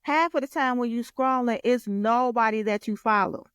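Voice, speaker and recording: An American woman co-hosting a podcast in casual, slangy girl talk with a playful, expressive manner. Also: on the high side, normal speed, clean tone, no echo, studio quality. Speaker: podcast co-host and content creator.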